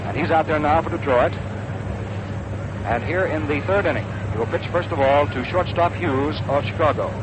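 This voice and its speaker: An American man calling baseball as a 1940s radio play-by-play announcer, in measured, deliberate phrases. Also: fairly high pitch. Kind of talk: 1940s radio sports commentary. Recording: noisy vintage 1940s radio broadcast. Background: loud steady background noise.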